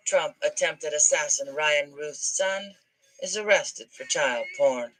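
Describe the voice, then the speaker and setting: A woman calmly reading news headlines in a soft voice. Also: deep voice, slow pace, soft background music, low-quality recording. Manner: calm, soft delivery. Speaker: news reader.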